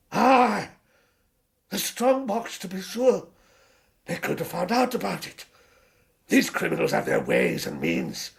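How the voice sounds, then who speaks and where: An actor in a radio drama playing an agitated, fearful householder, excitable and emphatic, often repeating himself. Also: male voice, sits high, vocal fry, full character voice. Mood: defiant, cunning. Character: agitated, fearful householder, boastfully cunning, guarding his money.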